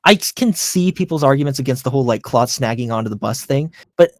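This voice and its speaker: A man's voice: medium pitch, expressive, fast, dry recording, studio quality. A man chatting casually in a debate, talking in a hesitant, conceding way. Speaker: co-host of a ranking discussion.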